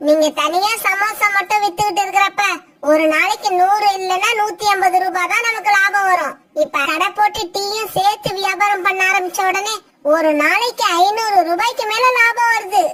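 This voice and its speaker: A woman's voice: very high voice, moderate pace. A woman voicing a hardworking, hot-tempered young male sparrow in a cartoon, speaking earnestly and firmly. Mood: proud.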